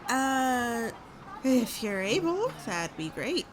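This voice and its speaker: A woman's voice: fairly high pitch, sing-song, slow, background noise. A woman playing a plain-spoken, self-deprecating village farmer in an audio drama. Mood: wry, friendly. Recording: clear farmyard ambience with livestock.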